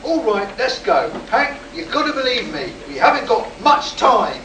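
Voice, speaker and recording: A man's voice: very high voice, very animated, normal speed. Man acting an agitated rocket pilot, echoey and distant. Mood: urgent pleading. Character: agitated, insistent rocket test pilot shaken by an alien encounter. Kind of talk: sci-fi radio play acting, performed live. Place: live performance in a room at a convention. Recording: roomy, distant, noisy live convention stage recording.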